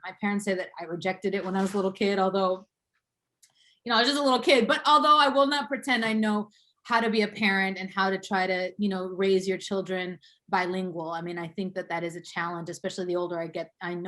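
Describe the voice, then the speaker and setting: A woman's voice: medium pitch, steady tone, quick pace, a bit bright. A woman in her thirties from Boston, telling her life story as an interview guest, reflective and full of fillers and small laughs. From Boston, USA. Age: 30s.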